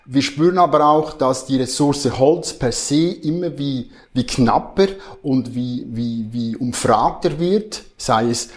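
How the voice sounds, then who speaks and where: A man's voice: mid-range voice, slow pace, few pauses. A man in his forties with a Swiss German accent, a podcast guest who talks earnestly with hesitations and self-corrections. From Switzerland. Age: 40s. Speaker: guest, marketing head. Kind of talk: podcast interview.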